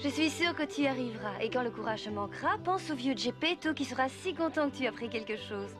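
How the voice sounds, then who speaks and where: High-voiced motherly cartoon fairy. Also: expressive, quick pace, music in the background. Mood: encouraging. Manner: patient, instructive. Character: kind but firm fairy, motherly female guardian. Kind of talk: dubbed cartoon acting.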